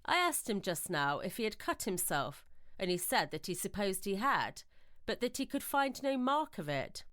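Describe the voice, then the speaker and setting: A woman playing a bluff, jocular English gentleman host with brisk, old-fashioned phrasing, in an audio drama. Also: medium pitch, sing-song, no echo, clean studio sound. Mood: puzzled, curious.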